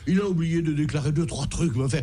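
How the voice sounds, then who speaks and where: A man's voice: fairly high pitch, fast, mellow. A man rambling on in a mock-pompous way as a comic columnist on a radio show. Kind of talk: comedy radio chat.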